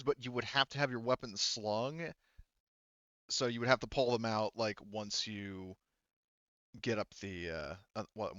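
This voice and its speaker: A man narrates a tabletop roleplaying session as game master. His voice is clear and his delivery is casual, with halting explanations. The sound is dry and slightly dulled. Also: medium pitch, a bit bright, no room sound, muffled recording.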